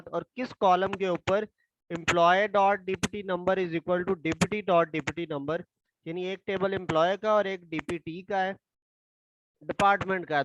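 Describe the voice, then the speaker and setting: A hoarse man, a tutor slowly reading exam questions aloud and explaining them step by step. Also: sits high, fairly bright tone, muffled recording, a little hiss or hum. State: hoarse from a throat infection.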